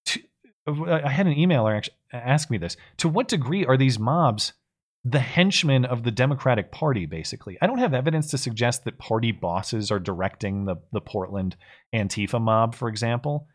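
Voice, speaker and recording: A man speaks as co-host of a political livestream. He reads out messages from viewers and adds casual commentary. His delivery is conversational and measured, a little halting as he forms his thoughts. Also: mid-range voice, lively intonation.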